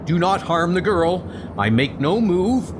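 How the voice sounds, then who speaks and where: A man's voice: sits high, very animated, measured pace, background noise, voice pushed up. A man playing a noble, resolute thunder god, speaking in an earnest, declamatory way for a comic-book dub. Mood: pleading.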